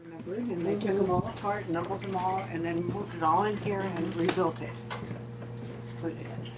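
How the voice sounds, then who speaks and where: A woman's voice: lowish voice, fairly even intonation, moderate pace. A woman casually explaining a house as an informal guide. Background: loud music.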